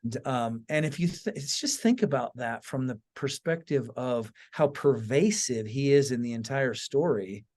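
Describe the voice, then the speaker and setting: A man with a medium-pitched voice hosts a podcast discussion. He speaks informally and with engagement, as in a relaxed chat between colleagues, often checking that his listener follows. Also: moderate pace, hardly any pauses. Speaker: podcast host. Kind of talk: casual conversational discussion.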